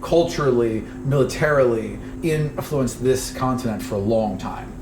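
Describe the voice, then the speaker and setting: A man runs a tabletop game, laying out lore and rules in a conversational, explanatory way. His voice sits at an average pitch. It sounds a little away from the microphone in an echoey room, with faint noise. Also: normal speed. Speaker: game master. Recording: roomy, distant mic with faint noise.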